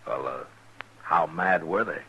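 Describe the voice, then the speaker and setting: An actor playing a calm, authoritative western marshal in an understated, reassuring manner, in 1950s radio drama style. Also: male voice, rumbling low, measured pace, slight background noise, exaggerated voice. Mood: reassuring, questioning. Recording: dull vintage 1950s radio recording.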